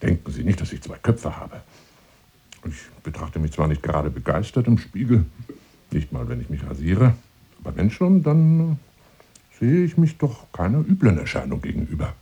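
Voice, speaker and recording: An actor playing a pompous, lonely hunter seducing a woman in a radio drama monologue, grandiloquent and insinuating, moving between hushed and declaimed phrases. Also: low voice, sing-song, moderate pace, slightly rough, heavily acted. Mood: self-satisfied.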